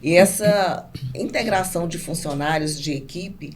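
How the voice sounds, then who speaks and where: A woman's voice: fairly low pitch, unhurried. A Brazilian woman speaking conversationally and emphatically as an interview guest, often repeating herself for stress. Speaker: podcast guest, business owner. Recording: clear background noise.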